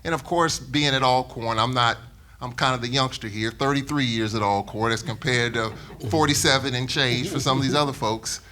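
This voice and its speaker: A man's voice: mid-range voice, normal speed, sharp tone. A middle-aged man, a radio sportscaster speaking off the cuff on a panel, emphatically and with repeated phrases for emphasis. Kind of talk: unscripted panel talk.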